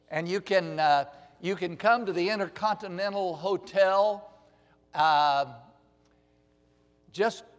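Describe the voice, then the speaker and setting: A man speaks slowly and earnestly from the front of a church, his voice sitting a little high for a man. Long reverb from the large hall trails after each phrase. He often repeats words as he finds his way. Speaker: missionary ministry speaker. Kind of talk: church announcement address.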